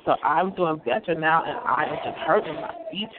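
A hoarse speaker with a cold, hosting an online radio show in a rambling monologue that repeats phrases and breaks into laughs. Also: mid-range voice, fairly quick. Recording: phone-like line with loud crowd noise.

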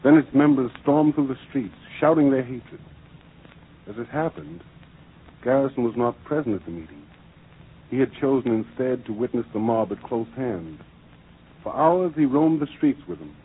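A man's voice: medium pitch, expressive, normal speed, husky. A man delivering formal, declamatory scripted narration in the style of 1940s radio drama. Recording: dull old radio broadcast recording.

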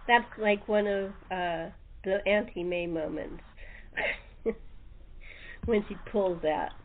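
A woman's voice: mid-range voice, slow pace. A woman hosting a casual group interview chat on a podcast, heard over a thin phone-like line. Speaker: host of a radio-play podcast.

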